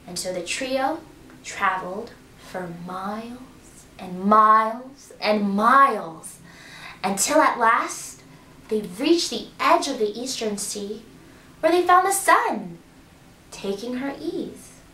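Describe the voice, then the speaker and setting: A woman tells a children's folktale slowly, with a lively, sing-song pitch. Her voice is fairly high. The recording sounds distant and roomy. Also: a little hiss or hum. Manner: slow, expressive. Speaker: storyteller. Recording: roomy echo, distant mic.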